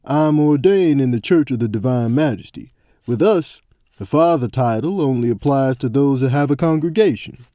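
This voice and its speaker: An actor playing a laid-back adventuring priest in a fantasy audio drama, casual and colloquial. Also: male voice, mid-range voice, animated, normal speed, mellow, no room sound, lightly acted. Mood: matter-of-fact.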